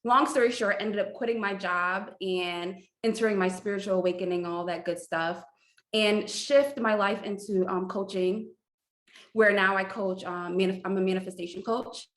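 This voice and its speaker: Woman panelist, mid pitch, easygoing tone, reverberant room. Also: steady tone, normal speed, fairly bright tone. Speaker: coach and panelist. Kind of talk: self-introduction on an online panel. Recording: echoey room.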